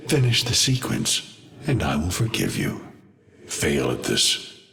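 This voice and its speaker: An actor playing an ancient, vengeful alien destroyer of worlds in a video game, a betrayed father who speaks in slow, solemn, menacing and grandiose pronouncements. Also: very deep voice, wildly swooping pitch, creaky, bright, forceful tone, full character voice. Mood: stern, threatening. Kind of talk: dramatic video game villain monologue. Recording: long cavernous reverb.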